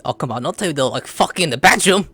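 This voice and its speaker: A woman hosting a gaming video, giving casual, blunt and expressive reactions as she plays. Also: sits low, fast, breathy edge. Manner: informal, expressive, blunt, swearing. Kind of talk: casual game playthrough reaction commentary.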